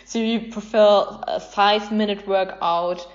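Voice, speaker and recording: A woman's voice: mid-range voice, fairly even intonation, a little slow. Woman hosting a chatty podcast, slightly muffled. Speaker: podcast host asking quick either-or questions. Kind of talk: casual podcast chat. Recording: slightly dull podcast recording.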